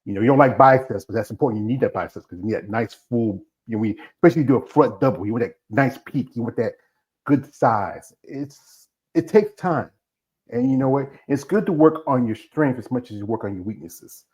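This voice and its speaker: A man's voice: medium pitch, brisk, darkish tone. A man hosting a casual interview who asks rambling, enthusiastic questions. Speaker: interview host. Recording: slightly dull, lo-fi audio.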